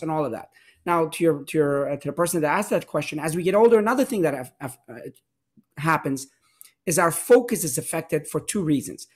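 A man, a physician guest in a podcast interview, explaining earnestly and repeating words for emphasis. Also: fairly high pitch. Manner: earnest, explanatory, with restarts and repetition.

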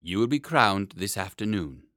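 A man voicing a grave, formal, courtly gentleman statesman with a solemn, stately manner in a storybook. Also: on the low side, sing-song, normal speed, no echo, studio quality.

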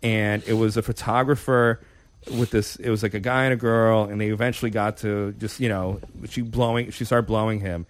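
A man in his thirties hosting a podcast, talking in loose crude banter with halting, stammering restarts. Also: fairly low pitch, fairly even intonation, a little hiss or hum. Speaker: podcast host.

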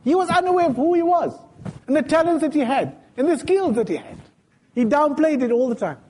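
A man's voice: very high voice, fairly quick. A man giving a sermon in a mosque, telling stories casually in everyday speech. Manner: storytelling, retelling scenes in everyday speech with lively quoted dialogue. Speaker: sheikh giving a Friday talk.

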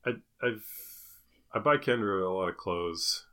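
A man's voice: on the low side, expressive, measured pace, pushed and bright, clean tone. A middle-aged man chatting casually as a podcast co-host, opinionated and given to incredulous rhetorical questions. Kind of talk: casual chat.

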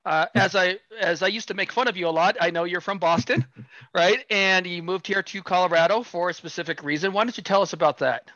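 A man's voice: high voice, fairly quick, pushed and bright, no room sound. A man hosting a podcast, asking questions in a friendly, lightly teasing way. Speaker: podcast host. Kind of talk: casual interview questions. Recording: dry, dull lo-fi sound.

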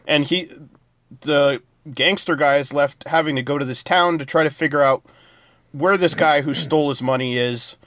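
A man's voice: on the high side, normal speed, fairly bright tone, no echo. A man co-hosting a casual TV review show, rambling through plot summaries with plenty of fillers. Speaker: podcast co-host.

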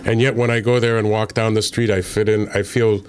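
A man from Connecticut, an author being interviewed, speaking in a measured, thoughtful way with frequent filler pauses. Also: lowish voice, flat delivery, a little hiss or hum. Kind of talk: relaxed interview conversation.

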